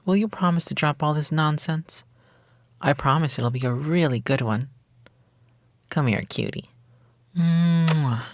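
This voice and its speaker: A man's voice: medium pitch, no echo, close to an everyday voice. A man playing a coy, playful romantic partner who is secretly a witch, in an intimate ASMR roleplay, flippant and evasive. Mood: flirty, teasing.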